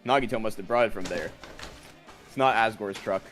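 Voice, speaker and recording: Bright-voiced man streaming a game. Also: lowish voice, brisk. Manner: reads aloud, breaks off into joking asides. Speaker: streamer reading game dialogue. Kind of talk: game dialogue reading with casual chat. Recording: music playing behind the voice.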